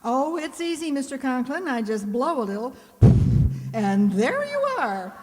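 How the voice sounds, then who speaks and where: In a hall with long reverb, an actress plays a quick-witted woman schoolteacher from a radio comedy. Her voice is high and very lively in its swings. She is arch, dry and politely sardonic. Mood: dry, wry. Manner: dry, arch wisecracking delivery. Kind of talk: amateur stage-style old radio comedy acting.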